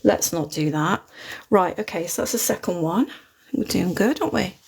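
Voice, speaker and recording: A woman's voice: lowish voice, moderate pace, creaky, a little mellow, slight background noise. A woman with a British accent, not a London one, chatting casually and rambling as she hosts a live crafting stream at her desk. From Britain. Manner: chatty, rambling, chuckles often. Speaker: craft livestream host. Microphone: phone mounted overhead.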